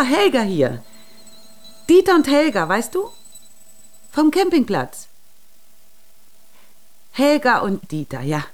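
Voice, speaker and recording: Fussy older mother, animated delivery. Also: mid-range voice. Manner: fussy, coaxing. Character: chatty meddling older mother and wife, well-meaning, insistent. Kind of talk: naturalistic German radio drama acting.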